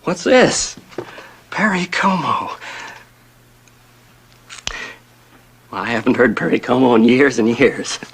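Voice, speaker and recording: A man's voice: medium pitch, animated, moderate pace, lightly acted. An actor playing an eager, wistful townsman at his birthday party in a 1960s television drama. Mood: wondering delight. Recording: faintly noisy old television soundtrack.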